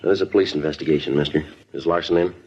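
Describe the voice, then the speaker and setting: Clipped no-nonsense radio detective, real deep, old muffled recording. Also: male voice, fast talker, slight background noise. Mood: firm, terse. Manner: clipped, matter-of-fact, deadpan. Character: businesslike, terse male police detective narrating his case. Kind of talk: 1950s radio crime drama acting and narration.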